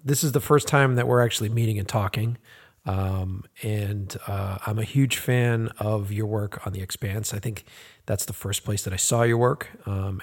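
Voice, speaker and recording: Man, raspy and hoarse, husky tone, casual podcast host, rambling with fillers. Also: lowish voice. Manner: rambling, lots of ums and uhs. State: hoarse from a busy week.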